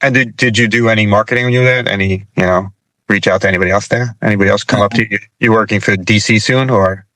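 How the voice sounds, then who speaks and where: A quick-talking man with a fairly low voice hosts a casual chat show. He repeats phrases as he goes, and the sound is close and dry. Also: fairly even intonation, sharp tone, dry recording, low-quality recording. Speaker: show host, interviewer.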